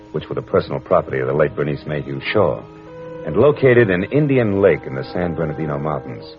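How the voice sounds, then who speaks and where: Wry, tough gumshoe narrator, deep register, deadpan delivery, vintage dull radio sound. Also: male voice. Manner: deadpan, sardonic. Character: wry, tough male private detective narrating his case. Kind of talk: 1940s radio detective acting, scripted first-person narration.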